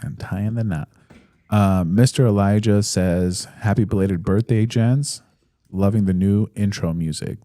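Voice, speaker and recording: A man in his thirties with a very low, mellow voice co-hosts a casual podcast. He reads listener comments aloud in a relaxed, hesitant way, with fillers. The sound is dry and close. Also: measured pace, dry recording. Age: 30s. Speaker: podcast co-host.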